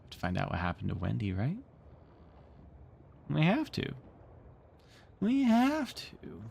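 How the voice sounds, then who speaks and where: A man narrating a storybook read-along with expressive, theatrical storytelling. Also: mid-range voice, slow, vocal fry, husky. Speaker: streamer reading a book aloud.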